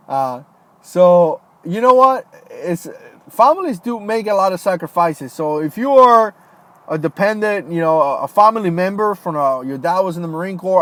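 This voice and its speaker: A man in his thirties, a former Marine, chatting casually on a livestream and reading out viewer comments, with lots of "man" and "dude". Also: sits high. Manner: loose, friendly, lots of fillers and "man", "dude". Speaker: livestream host, retired Marine. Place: home, Florida.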